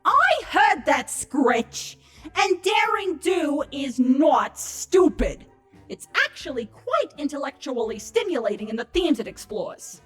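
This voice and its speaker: A woman acts out a young female changeling creature from a comedy audio story. She is exasperated and defensive, with a high, highly animated delivery that takes its time, over a musical backing. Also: takes it slowly, faint music behind, exaggerated voice. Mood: indignant. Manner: exasperated, stammering, dramatic.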